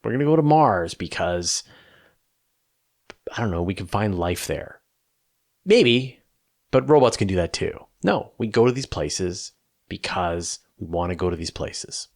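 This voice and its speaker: A man's voice: sits low, expressive, measured pace, creaky, long pauses. Emphatic man explaining in a conversational way. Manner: emphatic, conversational, with rhetorical questions and repetition. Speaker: host of a space question-and-answer show. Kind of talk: unscripted explainer answering viewer questions.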